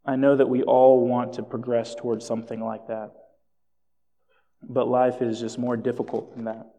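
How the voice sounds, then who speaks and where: A man's voice: mid-range voice, mellow, muffled recording. A man born in Jamaica and raised in South Florida, preaching a sermon and telling stories with self-deprecating asides. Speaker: pastor. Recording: long hall reverb.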